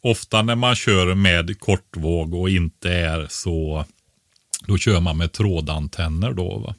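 Low-voiced man explaining in casual podcast talk. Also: no echo, clean studio sound. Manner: didactic, often tags statements with a questioning "va?".

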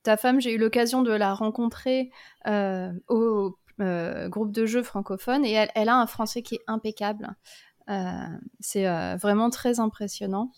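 A woman's voice: medium pitch, normal speed. A woman hosting a podcast, asking questions casually and encouraging her guest with short replies. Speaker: podcast host.

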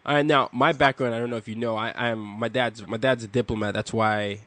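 A man's voice: mid-range voice, steady tone, brisk, fairly bright tone, no echo. A man with an American accent, interviewing in a casual, chatty, affirming way. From grew up across four continents, Nigerian.

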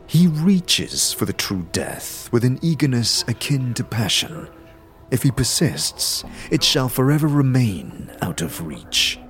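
A man playing a solemn Dustman elder in a fantasy audio drama, speaking in a measured, formal, philosophical manner. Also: mid-range voice, sing-song, a bit bright, soft background music. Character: solemn Dustman elder preaching oblivion and detachment from emotion.

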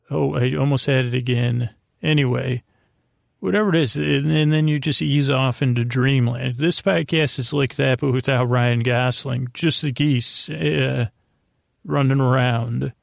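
Gravelly, creaky man rambling drowsily at bedtime. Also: mid-range voice, no echo, studio quality. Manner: meandering, halting, trailing off mid-sentence. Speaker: podcast host. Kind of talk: rambling bedtime monologue to put listeners to sleep.

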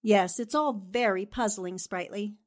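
A woman playing a brisk, determined newspaperwoman sleuth in a 1940s-style radio drama, with a commanding manner. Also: medium pitch, natural voice. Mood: thoughtful. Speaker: actor in an amateur radio drama re-creation.

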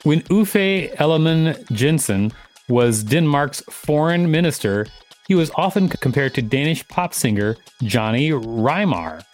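A man's voice: a little high, lively intonation, measured pace, faint music behind. A man co-hosting a podcast, reading articles aloud and breaking into casual banter. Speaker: podcast co-host.

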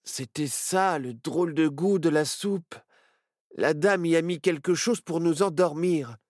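A man voicing a calm, sensible child in a storybook reading. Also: fairly high pitch, unhurried, dry recording, studio quality, close to an everyday voice.

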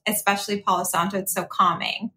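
A woman's voice: medium pitch, flat delivery, quick pace, sharp tone. Chatty American woman, upbeat podcast guest. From Arizona, United States. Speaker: podcast guest, lifestyle blogger. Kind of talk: casual conversational interview.

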